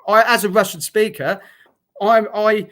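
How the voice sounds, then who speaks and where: A man's voice: sits high, measured pace, fairly bright tone. A middle-aged man with a somewhat raised voice speaks bluntly and frankly over a video call headset. He talks in a loose, conversational way, full of fillers and restarts, as he recounts things to the host. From Britain. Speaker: guest, former soldier. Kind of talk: conversational interview account.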